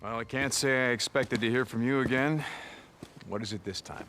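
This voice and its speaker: A man playing a gruff, suspicious, hot-tempered survivor in a video game cutscene, speaking bluntly and often muttering. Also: mid-range voice, normal speed, pushed and bright, natural voice. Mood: dismissive. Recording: very noisy outdoor ambience, helicopter, vehicles.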